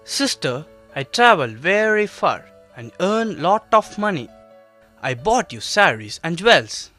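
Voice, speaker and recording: Scheming, sweet-talking spy. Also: male voice, sits high, very animated, a little slow, sharp tone, soft background music, full character voice. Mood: fake-affectionate. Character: scheming male spy posing as a long-lost brother.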